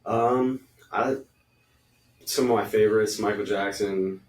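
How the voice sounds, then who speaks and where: A man who sings in a band chats loosely, circling back and restarting his phrases as he goes. His voice sits a bit low and comes from a step away from the mic. The room around him rings with echo. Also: steady tone, slow pace. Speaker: band singer. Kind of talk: casual podcast chat. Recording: roomy echo, distant mic.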